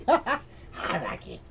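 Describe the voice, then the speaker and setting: A woman's voice: extremely slow, a bit bright, a little hiss or hum. A woman playing a tabletop game, speaking in a giggly, playful, childlike way. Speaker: player. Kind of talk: in-character tabletop role-play.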